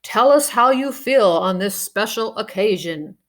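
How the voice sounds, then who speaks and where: A woman voicing a polite space-mission ground controller, speaking in a bright, slightly raised voice. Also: mid-range voice, animated, a little slow. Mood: formal.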